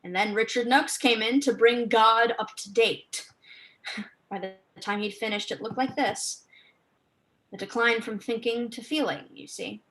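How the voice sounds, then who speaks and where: Stage play: woman performing a scornful woman author, articulate, higher voice, impassioned lecturer, assertive. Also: a little slow, fairly bright tone, rough recording. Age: young adult. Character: assertive, scornful woman author researching landscape garden history.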